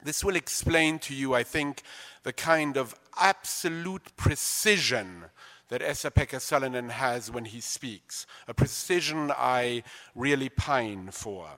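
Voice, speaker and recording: A man's voice: medium pitch, unhurried, bright, forceful tone. A man hosting a library stage event, welcoming guests in an effusive, formal way with playful asides. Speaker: host. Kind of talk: stage introduction and reading. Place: large library event hall. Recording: reverberant auditorium.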